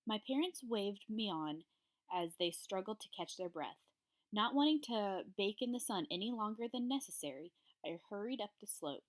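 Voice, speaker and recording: A woman's voice: mid-range voice, expressive, normal speed. A woman, a primary school teacher reading a children's story aloud to her pupils. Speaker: third grade teacher.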